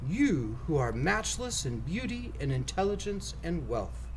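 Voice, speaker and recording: A man's voice: on the high side, big pitch swings, a little slow, slight background noise, pitched above the performer's own voice. A man voicing a frightened, pleading royal counselor in a storybook, timid and deferential but sensible. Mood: fearful, flattering.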